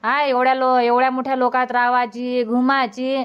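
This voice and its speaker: A woman's voice: high-pitched, flat delivery, normal speed, clean tone, dull audio, natural voice. A woman voicing a reassuring grandmother in a village family cartoon, with a colloquial Vidarbha Marathi accent. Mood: coaxing, reassuring. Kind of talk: cartoon comedy dubbing in rural dialect.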